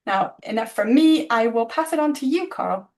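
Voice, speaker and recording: A woman hosting an online webinar on a video call, introducing the speakers in a friendly, slightly halting way and laughing at her own slips. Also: on the high side, animated, darkish tone. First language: not German. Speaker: webinar host.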